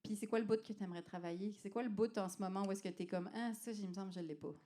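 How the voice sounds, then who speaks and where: A woman's voice: medium pitch, fairly even intonation, racing, mellow. A woman in her forties with a mixed French and Québécois accent, hosting a casual podcast chat in a playful, teasing way. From half French, half Québécois. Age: 40s. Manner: playful, teasing, laughs often. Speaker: podcast host.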